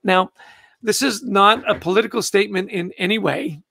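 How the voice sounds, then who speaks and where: Older male host, Canadian-born, high and gravelly, eager casual book-club chat, dry close sound. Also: normal speed, sharp tone, no room sound. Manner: enthusiastic, greets viewers by name.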